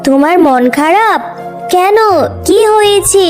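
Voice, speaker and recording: Woman acting a kindly fairy queen, very high voice, gentle, reassuring, fairy-tale cartoon, music behind. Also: slow. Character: kindly, magical flower queen granting wishes. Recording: music under the voice.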